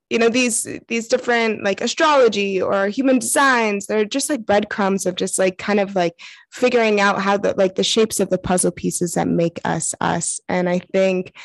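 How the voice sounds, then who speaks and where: A woman's voice: mid-range voice, dry recording. A woman hosting a casual conversation, speaking in an effusive, affirming and informal way.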